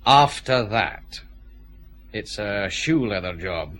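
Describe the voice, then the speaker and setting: An actor playing an authoritative detective chief inspector in a radio drama, with a clipped, commanding delivery. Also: male voice, on the low side, expressive, slow pace, fairly bright tone. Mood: stern. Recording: clear background noise, ambience.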